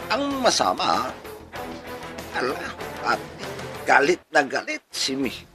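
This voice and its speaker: A man's voice: medium pitch, huge pitch swings, slow pace. A man with a Filipino accent telling a comic story as a host, in a playful, chuckling manner full of rhetorical questions. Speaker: radio-style channel host. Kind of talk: comedic storytelling. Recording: music playing behind the voice. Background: music.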